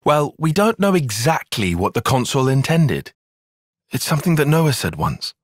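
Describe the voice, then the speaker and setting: An actor playing a young soldier strategist in a video game, formal, bookish and wry, easily flustered. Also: male voice, mid-range voice, very animated, no room sound, studio quality. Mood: earnest. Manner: formal, measured, precise, dryly sardonic. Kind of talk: video game cutscene voice acting.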